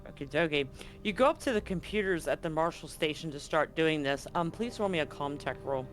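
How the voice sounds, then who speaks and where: A man improvising narration as game master of a tabletop roleplaying game, often pausing to find his words. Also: on the high side, animated, fairly bright tone, faint music behind.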